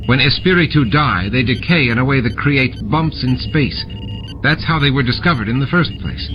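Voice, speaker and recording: A man's voice: medium pitch, lively intonation, normal speed, dull audio, music in the background, voice pushed up, natural voice. A man voicing a hot-headed female space station officer in an audiobook, urgent and argumentative. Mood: insistent. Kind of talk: audiobook character dialogue.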